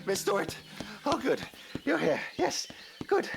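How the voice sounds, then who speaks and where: An actor playing an exuberant, eccentric investigator in an audio drama, emphatic and theatrical, fond of lists and repetition. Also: male voice, very high voice, very animated, unhurried, gravelly, over music, full character voice. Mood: excited, jubilant. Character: exuberant, eccentric male investigator bursting with triumph.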